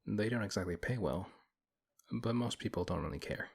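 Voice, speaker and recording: A very low, husky voice for a big, strong paladin, acted by a man in a fantasy audio roleplay. He talks one-to-one in a measured, earnest manner, pausing as he thinks. He comes across as principled and gently protective. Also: lively intonation, full character voice. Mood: serious, questioning. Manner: measured, earnest, hesitant pauses.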